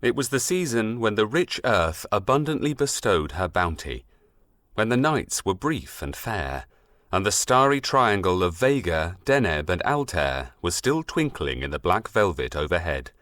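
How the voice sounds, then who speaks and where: A man narrating a scripted storybook in an expressive, measured, literary storytelling manner. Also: low voice, no echo, studio quality. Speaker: audiobook narrator.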